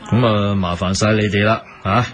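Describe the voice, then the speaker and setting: A man's voice: lowish voice, steady tone, moderate pace, natural voice. A man acting in a radio drama with a Hong Kong Cantonese accent, playing a busy, fretful, fussing café boss. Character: busy, fretful male café boss minding his business. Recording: music bed under the voice.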